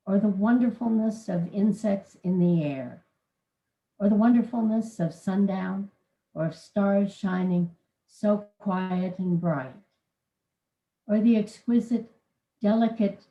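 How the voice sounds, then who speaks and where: A woman reading a poem aloud during a service in a slow, measured way, then introducing the speaker. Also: mid-range voice, roomy sound, narrow, tinny audio.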